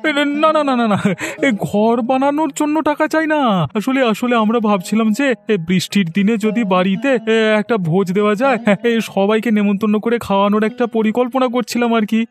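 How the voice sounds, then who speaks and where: An earnest, humble village husband from a cartoon tale , acted by a man. His voice is high for a man and pleads hesitantly. Music runs faintly behind the words. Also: moderate pace. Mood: nervous pleading. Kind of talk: cartoon story voice acting.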